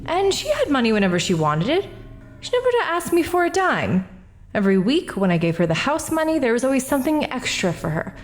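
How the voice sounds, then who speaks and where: Actress as a defensive witness, brash, flippant, lively pitch, audio drama. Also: female voice, fast, soft background music. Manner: defensive, flippant, laughs things off. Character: brash, self-justifying woman on the stand. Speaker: witness Ross at an inquest.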